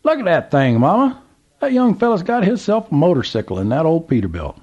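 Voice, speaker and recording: A gleeful old Southern gentleman, put on by a storytelling man, whose drawl leaps high and swoops wildly. The voice is husky and a bit muffled, like a lo-fi recording. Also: big pitch swings, a little fast. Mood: excited. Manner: drawling, exclaiming, high and squeaky. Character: excited old Southern man, toothless, chatty.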